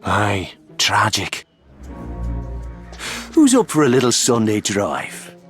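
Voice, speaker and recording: Low-voiced, brash Irish rogue. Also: male voice, huge pitch swings, slow pace, soft background music, exaggerated voice. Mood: cocky, mocking. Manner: sardonic, rough, cheeky. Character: brash, foul-mouthed Irish racing driver, cocky and vengeful.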